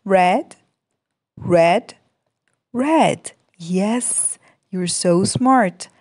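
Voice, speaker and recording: Slow-talking woman teaching small children. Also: medium pitch, a little mellow, breathy edge, no room sound, studio quality. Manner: simple, repetitive, encouraging. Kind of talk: puppet-show lesson for small children. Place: indoor room by a curtained window.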